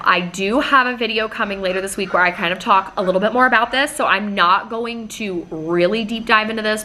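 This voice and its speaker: A woman's voice: on the low side, sharp tone, slight background noise. A woman in her thirties, slightly nasal from a recent cold, chatting enthusiastically through product recommendations with lots of casual fillers. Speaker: shopping deals vlogger.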